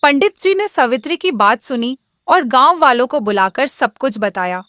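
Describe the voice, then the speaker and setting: A woman with a fairly high voice narrates a scripted moral story. She speaks as the storyteller, and the recording is dry with hardly any room sound. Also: animated, normal speed, sharp tone, no echo.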